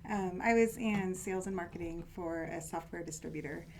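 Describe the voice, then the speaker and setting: A woman's voice: on the low side, a little fast, gravelly, a little mellow. A woman in her forties from California, a real estate agent answering interview questions in a matter-of-fact way and laughing easily.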